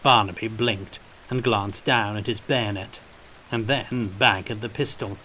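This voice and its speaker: A man narrating scripted fiction as an audiobook reader, in a deliberate, measured manner. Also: fairly low pitch, fairly even intonation, pushed and bright, a little hiss or hum, rough recording.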